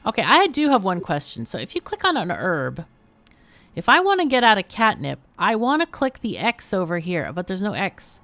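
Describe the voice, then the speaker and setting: A woman's voice: mid-range voice, very animated, normal speed, fairly bright tone, no echo, soft background music. A woman hosting a livestream, chatting casually as she plays a game. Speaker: livestream host. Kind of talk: casual live game chat.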